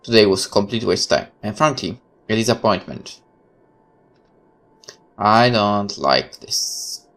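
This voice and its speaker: A man's voice: on the low side, lively intonation, a little slow, long pauses, fairly bright tone. A man reading a horror visual novel aloud as a let's player, with casual commentary of his own.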